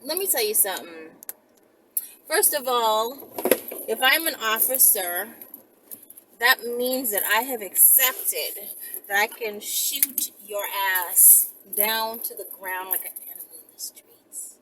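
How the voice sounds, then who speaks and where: A woman's voice: on the high side, lively intonation, slow, noisy background. A woman video host chatting casually and rambling to viewers in a blunt, emphatic, profane, conversational way.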